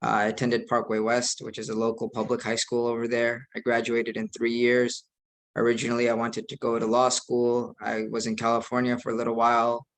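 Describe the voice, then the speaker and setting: Earnest young imam, flat even delivery. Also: male voice, medium pitch, a little fast, low-quality recording. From United States. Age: young adult. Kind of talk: online presentation.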